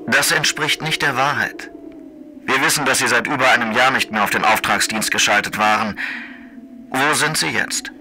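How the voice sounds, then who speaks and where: An actor playing a condescending, menacing federal prosecutor in a radio play, speaking in an officious, admonishing, formal way. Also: male voice, mid-range voice, moderate pace, bright, forceful tone, slight background noise, poor audio. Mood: suspicious. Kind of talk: German radio play acting.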